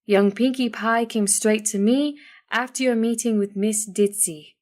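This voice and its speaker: A woman voicing a wise, cryptic female zebra healer in measured rhyming couplets. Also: on the high side, darkish tone, close to an everyday voice. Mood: solemn.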